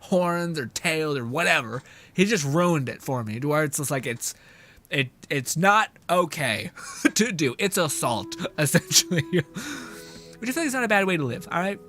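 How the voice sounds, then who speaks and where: A man's voice: on the high side, expressive, normal speed, sharp tone. A young man giving casual, sweary, joking live gameplay commentary and chatting with viewers. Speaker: game streamer. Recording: music playing behind voice. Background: background music.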